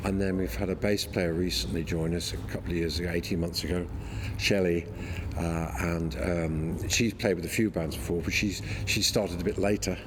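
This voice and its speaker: Deep-voiced man, matter-of-fact. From Birmingham, England. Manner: plain and matter-of-fact, with ums and repeats. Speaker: band member, interviewee. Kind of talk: casual interview talk. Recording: background noise.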